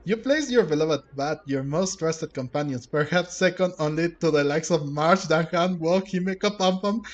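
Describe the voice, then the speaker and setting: A man's voice: fairly high pitch, moderate pace, dull audio. A man doing casual, playful let's-play commentary, reacting aloud and reading game dialogue. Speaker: game streamer.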